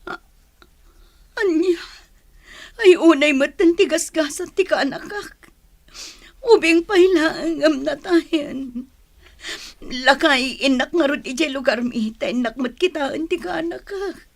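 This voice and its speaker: A caring, anxious Ilocano mother of young children. Her voice sits fairly high, and she speaks in the conversational, emotive manner of radio drama. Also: slow pace, pauses often. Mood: shocked, grieving.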